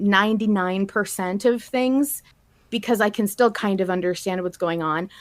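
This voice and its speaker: Female podcast host, mid-range voice, informal fan chat, animated and casually sweary. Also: mid-range voice.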